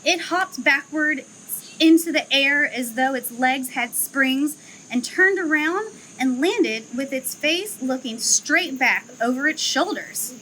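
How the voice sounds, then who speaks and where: Woman, young adult, zoo educator, storybook read-aloud, slow and expressive, outdoor zoo setting. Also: high-pitched, slight background noise, rough recording. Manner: slow, expressive storytelling, livelier chat afterward. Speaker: zoo educator reading a picture book. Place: outdoor zoo enclosure with trees.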